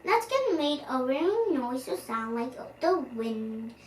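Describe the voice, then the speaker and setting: A young girl with a high voice reads a children's story aloud at an unhurried pace. She is animated and expressive, stumbling over the odd word. The recording is roomy and a little distant, with faint noise. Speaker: storyteller, channel host.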